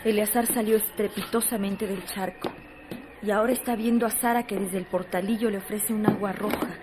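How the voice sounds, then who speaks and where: A woman's voice: mid-range voice, steady tone, fairly quick. A woman reading scripted literary narration for a radio drama. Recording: clear noise behind the voice.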